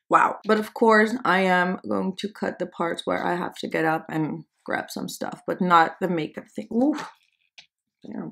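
A woman's voice: on the low side, vocal fry, fairly bright tone. A young woman in her twenties whose first language is Dutch, chatting casually and self-deprecatingly while doing her makeup on camera in a small indoor studio. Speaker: beauty vlogger. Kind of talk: casual makeup tutorial chat.

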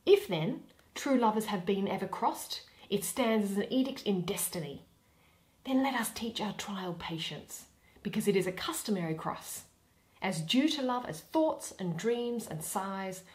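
A young woman giving an expressive dramatic reading of a Shakespeare play, with theatrical, deliberate phrasing and pauses. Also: medium pitch. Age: young adult. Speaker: reader.

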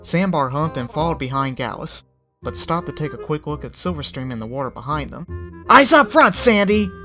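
A woman's voice: rumbling low, brisk, fairly bright tone, soft background music. Woman reading a fan story aloud. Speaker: narrator.

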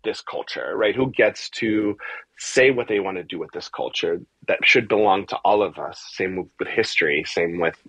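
A man's voice: low-pitched, expressive, moderate pace, a bit bright, breathy edge, no echo, low-quality recording. A middle-aged man from the US, born in Argentina, answering conversationally in an interview, often repeating words and checking in with "right?". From born in Argentina, grew up in the US from age four. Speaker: theater composer, music director and adapter. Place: small bare room, indoors, video call.

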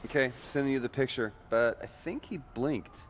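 A man's voice: medium pitch, moderate pace, a little hiss or hum, close to an everyday voice. An actor voicing a cocky, sarcastic young American superpowered man in a video game. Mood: wisecracking. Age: young adult. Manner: sarcastic, flippant. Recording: music and street sounds behind.

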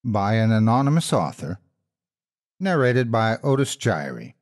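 A man narrating a scripted horror story in a husky voice, with suspenseful, expressive delivery. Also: fairly low pitch, unhurried.

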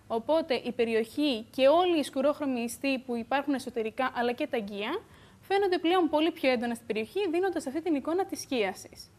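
High-voiced young woman explaining on TV. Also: lively intonation, a little mellow. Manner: measured, explanatory, with fillers. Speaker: beauty expert guest. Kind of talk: explanatory TV studio talk. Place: bright TV studio. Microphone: lapel mic.

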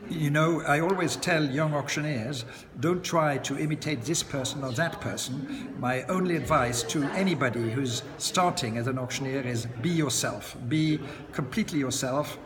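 A man in his sixties, an auctioneer, answering interview questions in a relaxed, chatty way with frequent "uh" pauses and light chuckles, filmed up close in an indoor lounge by large windows. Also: on the high side. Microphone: camera close to his face. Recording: long echo, loud crowd chatter behind.

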